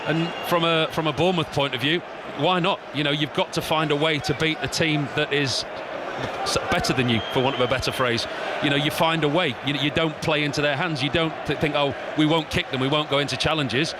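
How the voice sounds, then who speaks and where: Fast-talking football pundit. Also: male voice, on the high side, fairly even intonation, noisy background. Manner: conversational, explanatory, repeats phrases. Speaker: co-commentator, match analyst. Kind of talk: live football analysis.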